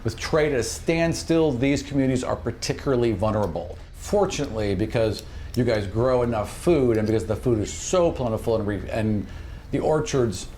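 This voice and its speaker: A man's voice: medium pitch, lively intonation, normal speed, darkish tone, a little hiss or hum. A man narrating a tabletop fantasy game as its game master.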